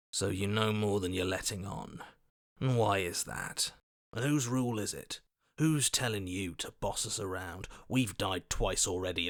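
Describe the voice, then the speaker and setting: Wary game character, calculating schemer, slightly low voice, bright tense tone, questioning, deliberate, dry sound. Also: male voice, dry recording. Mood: suspicious, irritated. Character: wary, calculating male inner voice, suspicious strategist. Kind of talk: dramatic video game voice acting.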